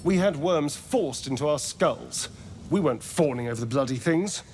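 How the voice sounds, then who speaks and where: A man's voice: a little high, animated, normal speed, darkish tone, slight background noise. An actor voicing a suave, sardonic male vampire magistrate in a video game, with an English accent and an arch, theatrical, evasive manner. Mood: scornful. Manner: arch, drawling, theatrical delivery. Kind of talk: video game character acting.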